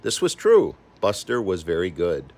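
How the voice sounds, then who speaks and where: An older man reading a children's story aloud in an expressive, animated way, acting out the characters. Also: deep voice, normal speed. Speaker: storybook reader.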